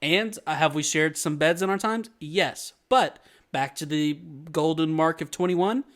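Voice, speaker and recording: A man's voice: fairly high pitch, unhurried. A young man co-hosting a podcast, bantering casually, laughing often and swearing as he rambles. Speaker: podcast co-host.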